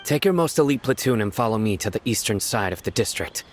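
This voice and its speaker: Stern general for a game: lowish, clipped orders, deadpan authority. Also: male voice, fast. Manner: terse, commanding, deadpan. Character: stern, aloof young male general and enforcer. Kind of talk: video game cutscene acting. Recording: music behind the voice.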